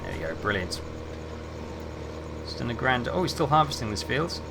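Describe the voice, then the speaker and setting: Male streamer, relaxed and chatty, mid-range voice, steady hum behind. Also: mid-range voice, moderate pace, gravelly. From England. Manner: relaxed, chatty, answers chat while playing. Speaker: game streamer. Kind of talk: casual gameplay commentary, chatting with viewers.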